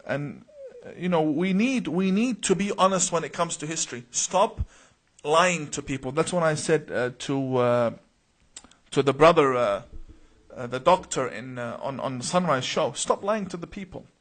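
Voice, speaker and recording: A fairly high-voiced man from Iraq hosts a live stream, reading viewer names and questions before answering. He talks earnestly and emphatically, stumbling over repeated words and uh's as he works through his answers. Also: lively intonation, normal speed.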